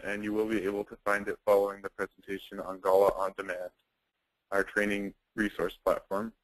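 A man coordinating a webinar, reading the housekeeping notes in a scripted, even way with some fillers. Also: on the low side, dry recording, low-quality recording. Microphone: computer mic on a webinar call.

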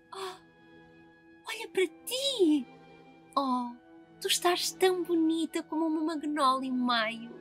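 A woman's voice: very high-pitched, animated, slow, long pauses, fairly bright tone, faint music behind, heavily acted. A woman voicing a spoiled, bubbly young Southern belle heiress in a cartoon fan dub, gushing and giggly. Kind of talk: fan dub of animated film.

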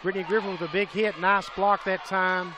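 Excited play-by-play man over crowd. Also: sits high, fairly quick. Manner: excitable, rises to shouts on big plays. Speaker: play-by-play commentator. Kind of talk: college volleyball play-by-play. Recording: crowd and gym noise behind.

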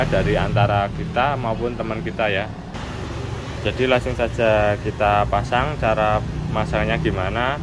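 A man giving a casual hands-on tutorial, explaining step by step in an informal, repetitive way. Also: lowish voice. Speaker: motorcycle accessory shop presenter. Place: indoors at a wooden workbench. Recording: clearly noisy room with background hum.

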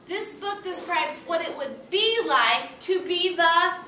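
A young woman with a high voice talks to young children as their teacher. She is expressive and encouraging, phrasing things for little listeners. The sound is roomy and noisy, picked up from across a classroom. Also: slow pace, recorded from a distance. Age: young adult. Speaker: elementary school teacher. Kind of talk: interactive read-aloud to young children. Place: classroom with children seated on the floor. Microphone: far camera.

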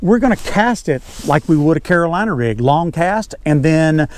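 A man's voice: on the high side, expressive, normal speed, a little mellow. An older man with a Southern US accent giving an informal, folksy fishing lesson as a guide, repeating key words for emphasis. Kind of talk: informal instructional talk. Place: outdoors on a bass boat on a lake.

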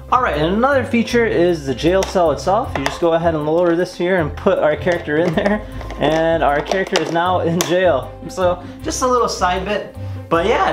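A man in his twenties hosting a toy unboxing and demonstration in an enthusiastic, casual way. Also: a little high. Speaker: toy review host. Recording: roomy echo, music behind. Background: clear background music.

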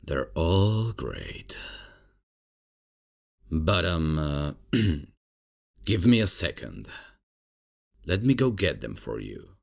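Very deep, laid-back bumbling professor played by a man. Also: very animated, drawn-out words, pauses often, exaggerated voice. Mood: sheepish, amused. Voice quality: deep, gravelly, husky. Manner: casual, hesitant, chuckling, unprofessional. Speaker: roleplay audio performer. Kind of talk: comedic ASMR roleplay, spoken to the listener.

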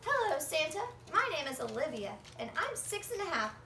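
An eager little kid, read by a young woman in a high, swooping voice, earnest and full of questions. It is a storybook read-aloud, recorded from a distance in a roomy space. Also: big pitch swings, moderate pace, sharp tone, full character voice. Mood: eager, curious. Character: eager, polite young children writing letters to Santa. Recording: roomy, distant phone recording with faint noise.

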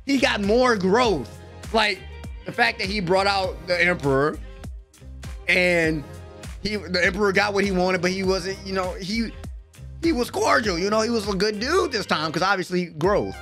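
A man's voice: sits high, normal speed, pushed and bright, faint music behind. A young American man giving casual, emphatic reaction commentary full of slang, speaking close to a microphone in a small room at home. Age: young adult. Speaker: anime reaction host. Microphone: desk microphone close up, headset worn.

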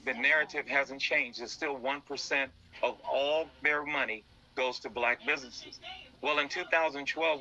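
Male interview guest, very bright forceful voice, earnest and halting, on a dull lo-fi feed. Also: medium pitch. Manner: halting, repeats words. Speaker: interview guest, business founder. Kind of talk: earnest explanatory interview talk.

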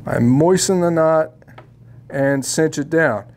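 Middle-aged male shop instructor, slightly raised pitch, chatty and opinionated, filler-heavy delivery, clean lapel sound. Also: takes it slowly, a little mellow. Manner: folksy, opinionated, lots of ums and uhs. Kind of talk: casual tutorial to camera. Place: fly shop studio set, indoors. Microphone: lapel mic.

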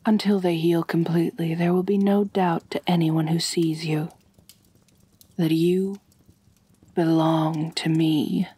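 A woman playing an ancient, wealthy vampire mistress in an intimate ASMR roleplay, genteel and measured, doting yet gently dominant, with a soft breathy voice. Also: lowish voice, little pitch movement, no room sound. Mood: tender, doting.

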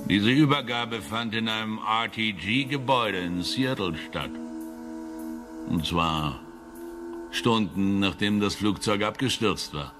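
An actor playing a gruff, threatening older male boss in an audio thriller. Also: lowish voice, music in the background. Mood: cold, threatening. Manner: coarse, menacing, deliberate. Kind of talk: German audio thriller acting.

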